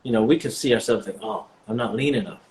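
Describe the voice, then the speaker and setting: Guy in his 40s, lowish voice, laid back, lots of "you know", just telling his story. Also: fast talker, a little hiss or hum. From born in the US, raised partly in Jamaica. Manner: relaxed, reflective storytelling with many "you know" fillers. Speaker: guest, vegan bodybuilder. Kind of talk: casual interview answers.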